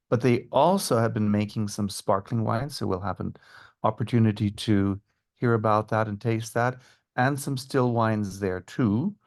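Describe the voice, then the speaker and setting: A man speaking as a tour guide and historian, giving informative, measured answers in an interview. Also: mid-range voice.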